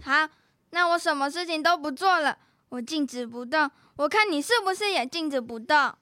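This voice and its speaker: A woman's voice: high voice, expressive, normal speed, sharp tone, no echo, studio quality. A young girl acting in a children's radio drama as a bossy, scheming big sister. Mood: annoyed, bossy. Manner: bossy, coaxing.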